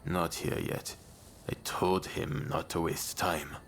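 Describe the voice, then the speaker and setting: An actor playing a stern, loyal, brooding samurai in a video game, speaking in a terse, measured and earnest way. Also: male voice, low voice, steady tone, vocal fry, a bit bright, a little hiss or hum, full character voice. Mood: grave, earnest. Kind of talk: video game cutscene acting.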